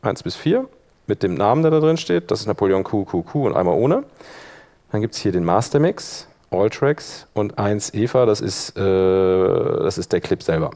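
Low-voiced man leading a software workshop. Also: lively intonation, moderate pace. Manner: informal, step-by-step explaining. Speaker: workshop instructor. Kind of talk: live software demonstration to an audience.

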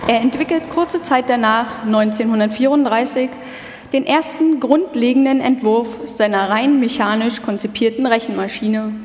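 A woman's voice: fairly high pitch, normal speed, darkish tone. A woman reading scripted documentary narration as the narrator of a live radio feature. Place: live stage performance in a hall. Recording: echoey hall, long reverb.

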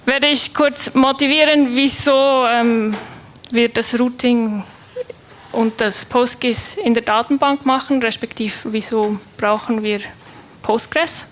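Swiss lady dev presenting at a conference, kinda high voice, slow and halting with lots of ums. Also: steady tone, a little hiss or hum, rough recording. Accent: Swiss German. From Switzerland. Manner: hesitant, many filler sounds and restarts. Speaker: conference speaker, developer. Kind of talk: technical conference talk.

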